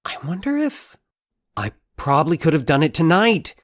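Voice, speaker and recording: A man voicing an anxious, excitable male character thinking aloud in halting, agitated bursts in an audio drama. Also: fairly high pitch, no room sound, clean studio sound, pitched above the performer's own voice. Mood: distressed, determined. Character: Mike, anxious excitable man puzzling out eerie coincidences. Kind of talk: dramatic audio fiction monologue.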